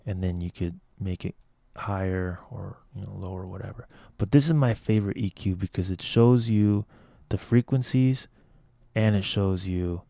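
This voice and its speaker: A man with a lower tenor voice casually explaining how to finish a song, talking loosely with lots of "like" and "you know.". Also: moderate pace, vocal fry, warm tone, dry recording, studio quality. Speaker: music production tutor. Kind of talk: casual tutorial walkthrough.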